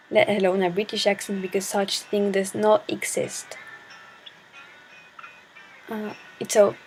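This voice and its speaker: Shy teen girl vlogger, gravelly voice. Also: medium pitch, darkish tone, poor audio. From Chile. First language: Spanish. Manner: hesitant, shy, searching for words. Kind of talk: casual talk to camera.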